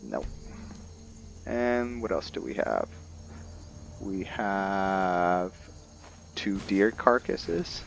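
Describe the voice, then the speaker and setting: Casting: male gamer, low-pitched voice, casual play-by-play, thinking aloud, faint noise and crickets behind. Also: very animated, extremely slow, vocal fry, long pauses. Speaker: gamer narrating roleplay playthrough. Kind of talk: casual game commentary.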